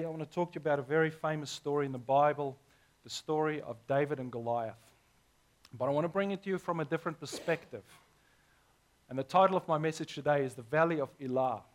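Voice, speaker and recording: A man, hoarse this morning, preaching a sermon as pastor with earnest, emphatic delivery. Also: fairly high pitch.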